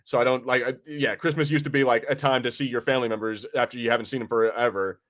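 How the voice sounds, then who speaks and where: A man's voice: medium pitch, fairly even intonation, fast talker, a bit bright. A man hosting a podcast, chatting casually and bluntly. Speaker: podcast host. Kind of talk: casual chat.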